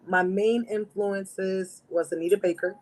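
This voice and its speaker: A woman artist with a raspy voice, answering interview questions in a confident, emphatic way. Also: mid-range voice, steady tone, a little slow, darkish tone. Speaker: guest artist.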